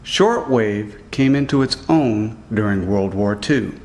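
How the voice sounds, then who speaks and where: Low-voiced man reading a scripted report. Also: lively intonation, slow, a little mellow. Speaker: student reporter and narrator. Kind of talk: scripted narration.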